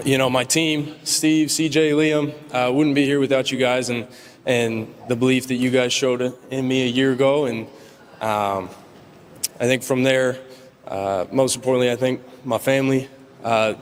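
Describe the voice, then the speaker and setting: A young man speaks humbly and haltingly, pausing on fillers, in a flat, even tone. His voice carries a long reverb from the large awards hall around him. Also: mid-range voice. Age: young adult. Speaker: award winner giving a speech. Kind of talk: acceptance speech.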